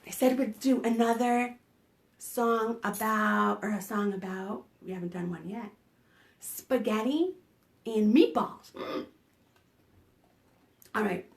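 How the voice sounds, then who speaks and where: A woman's voice: mid-range voice, slow pace, long pauses. A woman hosting a preschool storytime, reading aloud expressively and coaxing young listeners with questions. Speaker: children's librarian, storytime host. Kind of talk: animated read-aloud for preschoolers.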